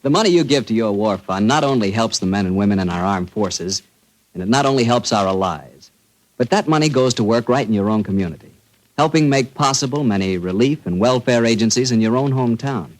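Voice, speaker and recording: A man's voice: lowish voice, expressive, fairly quick, low-quality recording. An American man working as a 1940s radio announcer and host, with a folksy, polished sponsor's delivery.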